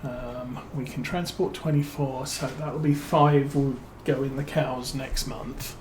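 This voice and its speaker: Slow-talking British man streaming a game. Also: medium pitch, fairly even intonation, slight background noise, poor audio. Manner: unhurried, musing, thinks aloud with many fillers. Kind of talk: relaxed let's-play commentary.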